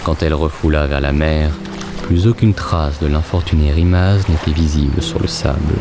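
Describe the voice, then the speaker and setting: A man narrating a scripted audio drama in a measured, dramatic storytelling manner. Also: extremely low pitch. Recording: loud music bed behind the voice.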